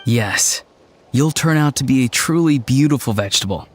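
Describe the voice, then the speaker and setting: Kindly male oddball played by a man for a game, earnest, medium-pitched, faint noise behind. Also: animated, moderate pace, close to an everyday voice. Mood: reassuring. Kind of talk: video game voice acting.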